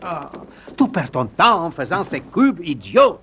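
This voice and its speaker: An actor voicing an excitable, scatterbrained male explorer who thinks himself a genius, in a theatrical cartoon manner. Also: medium pitch, unhurried, slight background noise, heavily acted. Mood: frustrated. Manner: theatrical, swooping from muttering to exclaiming. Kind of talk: animated cartoon dub.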